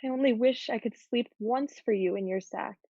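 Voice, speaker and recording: A woman's voice: on the high side, brisk, dark tone, slightly rough, dry recording. A woman playing a happy, self-assured, romantic teenage schoolgirl in a dramatic reading of a period play, in slightly dull sound. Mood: sympathetic.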